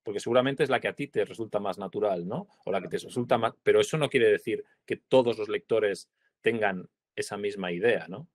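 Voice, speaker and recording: A Spanish translator in his forties, speaking in a reflective interview over a video call, pausing often with fillers and asking little tag questions. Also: fairly low pitch, no room sound, studio quality. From Spain. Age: 40s. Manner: thoughtful, hesitant, many fillers and tag questions. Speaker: translator, prize-winning guest.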